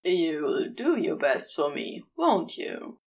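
An indulgent, commanding male demon lover from an audiobook romance, voiced by a woman. He gives slow, deliberate orders and tender praise, with an expressive range of pitch. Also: exaggerated voice. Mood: tender, coaxing. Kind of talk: fan fiction audiobook character voice.

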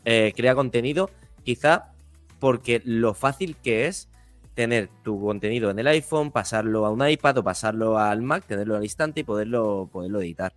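A man, hosting a casual interview in a calm, slightly hesitant way. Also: mid-range voice, normal speed, dry recording, faint music behind. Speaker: podcast host.

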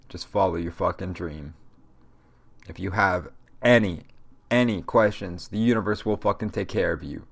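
A young man with a very low, gravelly voice talks through a stuffy nose from a cold. He rambles along in a casual solo podcast rant, swearing constantly as he gives motivational talk. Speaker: podcast host.

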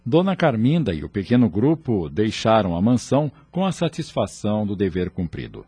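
A man's voice: on the low side, big pitch swings, moderate pace, mellow, breathy edge, dry recording. A man narrating a scripted radio drama in a solemn, formal manner.

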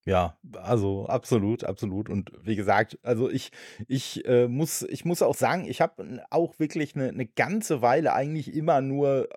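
A man hosting a podcast speaks in a middle-pitched, ordinary voice. He stumbles, restarts his sentences and softly affirms his guest. The recording is dry and close. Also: moderate pace, dry recording. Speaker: podcast host. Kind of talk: casual podcast interview.

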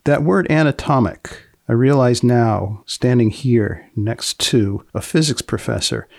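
Deadpan male podcast host, creaky voice. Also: mid-range voice, unhurried, no room sound, studio quality. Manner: deadpan, probing questions. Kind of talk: conversational interview.

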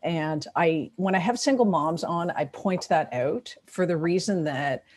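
A woman's voice: on the low side, a little slow, a little hiss or hum. A woman with a Toronto Canadian accent hosting an interview in a chatty, enthusiastic way. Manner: chatty, enthusiastic, warm toward guest. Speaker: podcast host. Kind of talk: casual interview chat.

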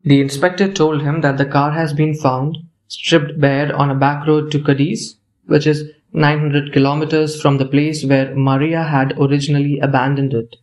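Higher-pitched male story narrator. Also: level tone, moderate pace, few pauses, a little mellow, rough recording.

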